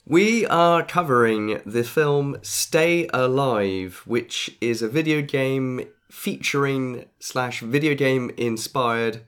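Guy with a cold, raspy voice, chatty podcast cohost, restarts a lot. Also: medium pitch, slow, hardly any pauses, a bit bright. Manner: chatty, thinks aloud with restarts. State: has a cold. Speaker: podcast co-host. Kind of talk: casual podcast chat.

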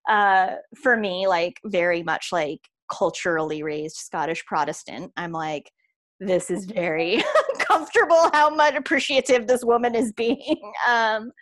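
A woman's voice: medium pitch, animated, unhurried, a bit bright. A woman in casual conversation, reflective and chatty, trailing off with fillers.

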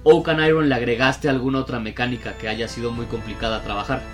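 A man hosting a casual talk, reading out listener questions in a relaxed, filler-filled way. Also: medium pitch, fast, soft background music. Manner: relaxed, filler-heavy, conversational. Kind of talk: casual interview chat.

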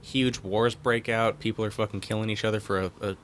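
A laid-back man with a fairly low voice chats casually into a podcast mic. He jokes and swears easily. Faint background noise sits under his voice. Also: fairly even intonation, a little fast. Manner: laid-back, joking, profane.

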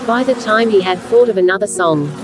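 A woman's voice: medium pitch, a little fast. A woman reading scripted narration for a children's storybook. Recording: clear background music.

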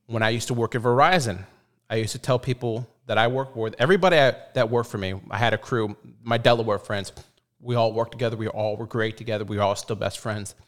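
A man's voice: medium pitch, fast talker, fairly bright tone. A man talking bluntly in a casual chat, giving his opinions on work and swearing freely.

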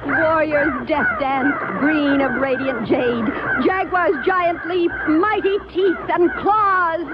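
A woman's voice: extremely high pitch, slow pace, hardly any pauses, heavy background noise. An actress in a radio drama playing a brave, defiant young girl with magical powers, earnest and bold. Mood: battle cry. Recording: muffled radio broadcast with loud music.

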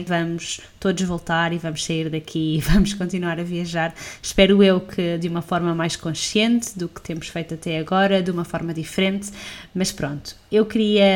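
A woman with a European Portuguese accent hosting a travel podcast, upbeat and chatty, laughing often. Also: on the low side. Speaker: podcast host. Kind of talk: casual podcast interview.

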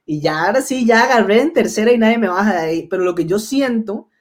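A man's voice: high voice, lively intonation, fast. A man, a comedian, chatting casually on a podcast in slangy, profane speech. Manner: slangy, profane, drifts into accents.

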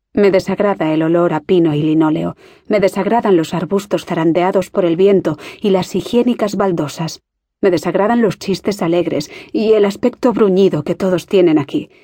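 A woman's voice: on the low side, normal speed, mellow, dry recording, studio quality, lightly acted. A woman voicing a homesick schoolgirl in an audiobook, speaking with bitter, tearful complaint. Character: homesick, resentful schoolgirl Susan. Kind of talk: audiobook character reading.

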